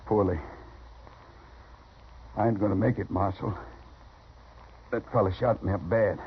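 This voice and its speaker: A poor cowboy near death, acted by a man, frightened and humble. He speaks haltingly in plain country style, on a dull, noisy 1950s radio drama recording. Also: lowish voice, steady tone, lightly acted. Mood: weak, pleading. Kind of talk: 1950s radio western acting.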